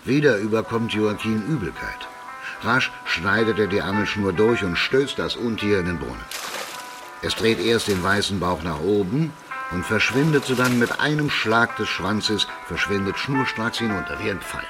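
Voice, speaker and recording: A man's voice: fairly low pitch, expressive, moderate pace. A man giving vivid, theatrical scripted narration for a radio play. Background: music.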